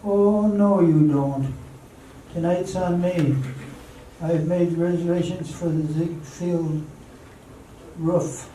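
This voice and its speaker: A man playing an eager, polite, easily flustered gentleman in an amateur radio drama, recorded echoey and distant. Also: fairly high pitch, fairly even intonation, slow pace, long pauses. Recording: echoey, distant mic, faint room noise.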